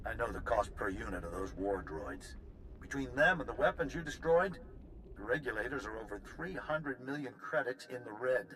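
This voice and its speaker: An actor voicing an earnest official in a video game, giving formal, grave and urgent mission briefings. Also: male voice, mid-range voice, lively intonation, normal speed, fairly bright tone, natural voice, poor audio. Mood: grave, determined. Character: earnest male resistance official briefing an agent.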